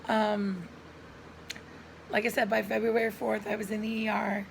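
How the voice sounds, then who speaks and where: A middle-aged woman with a hoarse voice and frequent coughs, telling viewers a personal story in an earnest, chatty way, recorded at home on a camera mic. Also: medium pitch, level tone, a little slow, slight background noise. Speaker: lifestyle vlogger.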